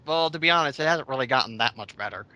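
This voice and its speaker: A man's voice: medium pitch, expressive, quick pace, sharp tone, highs cut off. A man giving casual, mocking commentary on online comments in a sarcastic, blunt and sweary way.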